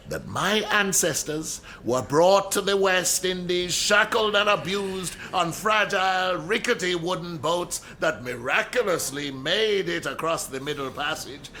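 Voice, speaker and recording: An actor playing an outspoken, wry, middle-aged history professor in a radio drama, emphatic and rhetorical. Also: male voice, fairly high pitch, bright, forceful tone, exaggerated voice. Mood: impassioned lecturing. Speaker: university lecturer. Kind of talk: radio drama acting, lecturing.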